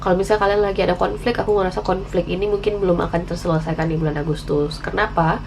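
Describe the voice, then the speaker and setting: A woman's voice: fairly low pitch, steady tone, fast talker, vocal fry, background noise. An Indonesian woman with the flu and a candy in her mouth, giving a casual, candid tarot reading to viewers and dropping in English phrases. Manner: chatty, candid, mixing in English slang phrases. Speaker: tarot reader, channel host.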